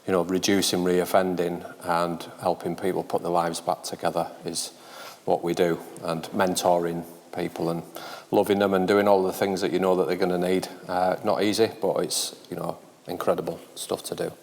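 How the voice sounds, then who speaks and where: A man with a very deep, gravelly voice speaks plainly and with heart. His tone stays flat and level, and a long hall reverb trails behind him. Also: normal speed. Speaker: panelist working in reducing reoffending.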